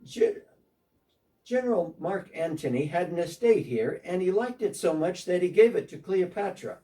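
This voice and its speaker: A man's voice: high voice, expressive, moderate pace, warm tone, far from the mic. A man playing a defensive, talkative tax collector in a church dramatic reading, speaking one side of a phone call.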